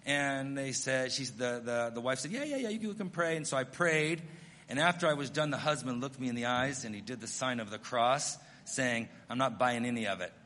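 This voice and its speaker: A man's voice: medium pitch, animated, fairly quick, pushed and bright. Earnest preaching man in a hall. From United States. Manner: earnest, self-deprecating storytelling. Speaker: missionary pastor. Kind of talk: sermon.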